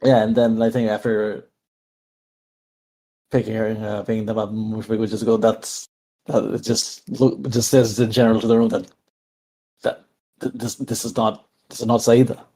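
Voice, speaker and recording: Mellow-voiced man improvising in a roleplay game. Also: fairly low pitch, little pitch movement, moderate pace, pauses often, low-quality recording. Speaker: tabletop roleplay player. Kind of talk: improvised narration of a character's actions.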